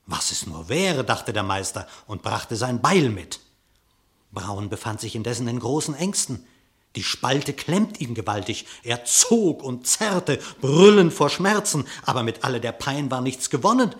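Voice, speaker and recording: A man narrating a verse fable theatrically, with dramatic, expressive declamation. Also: mid-range voice, measured pace. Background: music.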